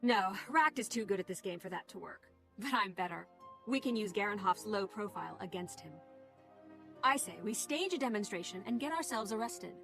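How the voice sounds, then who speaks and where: Sly, self-assured spy. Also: female voice, medium pitch, lively intonation, brisk, vocal fry, a bit bright, soft background music. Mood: confident, scheming. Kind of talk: video game voice acting.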